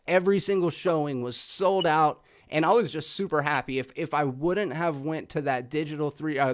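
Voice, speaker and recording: A man hosting a movie discussion show in a casual, chatty, informal way. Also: a little high. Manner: informal, swearing. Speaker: host of a movie review show.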